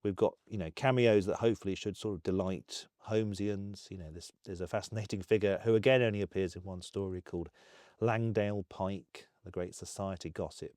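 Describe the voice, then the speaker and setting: Englishman, interview talk. Low-pitched, mellow, closely recorded with no room. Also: steady tone, brisk, dry recording, studio quality.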